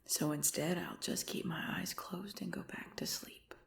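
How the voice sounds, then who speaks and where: Woman acting a breathy, whispering sleepy girlfriend. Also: fairly low pitch, sing-song, normal speed, full character voice. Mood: drowsy. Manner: soft whispering and murmuring. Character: affectionate sleepy girlfriend murmuring in bed. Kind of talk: ASMR girlfriend roleplay.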